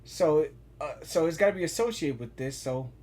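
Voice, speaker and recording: A woman giving casual live gaming reactions, excitable and repeating herself as she thinks aloud. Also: low-pitched, moderate pace.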